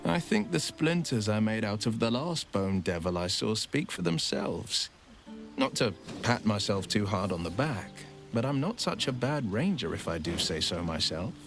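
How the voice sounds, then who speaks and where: A man's voice: lowish voice, big pitch swings, normal speed, creaky, soft background music. A man playing a gallant, good-humoured ranger in a fantasy video game, eloquent and earnest. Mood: warm, wry. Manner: eloquent, earnest, lightly self-deprecating. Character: gallant, good-humoured male ranger hero, proud son. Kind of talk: video game character acting.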